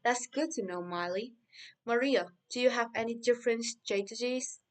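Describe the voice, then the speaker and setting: A man's voice: very high voice, moderate pace. A man playing a friendly, upbeat podcast host in a scripted interview for English learners. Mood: cheerful.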